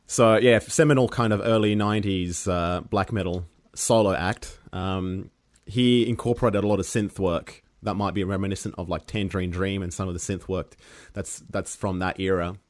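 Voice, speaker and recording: A man's voice: low-pitched, fairly even intonation, moderate pace, no echo, clean studio sound. A man whose first language is Serbian, a musician chatting as a guest, rambling with many fillers and restarts. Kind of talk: casual interview chat.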